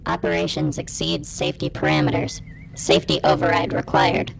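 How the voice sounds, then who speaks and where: A woman's voice: very deep voice, big pitch swings, fairly quick, exaggerated voice. A woman voicing a cold machine that gives clipped, impersonal warnings in an audio drama. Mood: urgent warning. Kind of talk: audio drama computer alert. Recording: clear noise and clicks behind.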